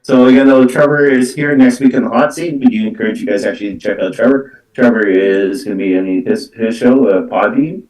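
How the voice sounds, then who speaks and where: A man hosting live card readings as a psychic medium, talking off the cuff in a halting, repetitive way with many filler words like "though" and "actually". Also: medium pitch, a little mellow. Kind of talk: unscripted live-stream talk. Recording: roomy echo, distant from the mic.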